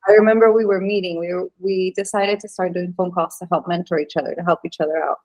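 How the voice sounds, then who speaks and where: Venezuelan-born woman, 30s, kinda low voice, rambles with lots of ums, podcast guest. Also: fairly even intonation, fast talker, a little mellow, low-quality recording. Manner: chatty, lots of fillers and restarts. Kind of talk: casual interview chat.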